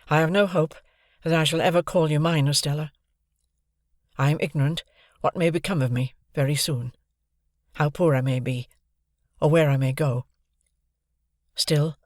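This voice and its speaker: A man's voice: a little high, no room sound, studio quality, natural voice. A man voicing an earnest young man pleading his love in a storybook reading.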